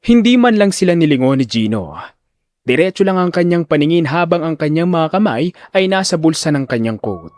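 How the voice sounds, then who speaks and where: A man narrating a scripted audio drama in a deliberate, theatrical storytelling manner. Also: medium pitch. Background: faint music.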